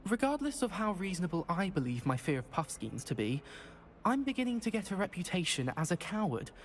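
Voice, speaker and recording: A man's voice: high voice, very animated, fairly quick, a little hiss or hum. An actor playing a nervous, self-deprecating young male wizard student in a video game, speaking hesitantly and fussily. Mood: anxious. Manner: hesitant, fussy and self-conscious. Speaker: game character.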